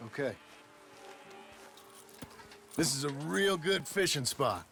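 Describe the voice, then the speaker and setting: Casting: a gruff yet tender frontier dad, gravelly Western American drawl, expressive range, for a Western game. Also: male voice, fairly high pitch, faint music behind, exaggerated voice. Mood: reflective. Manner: folksy, halting. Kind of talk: naturalistic video game acting.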